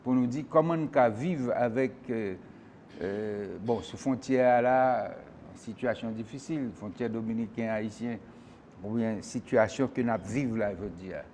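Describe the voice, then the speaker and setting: A male talk show host who presses his guest with question after question. His voice sits at an average pitch for a man. A faint low rumble runs behind him. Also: measured pace, warm tone. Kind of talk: call-in political talk show.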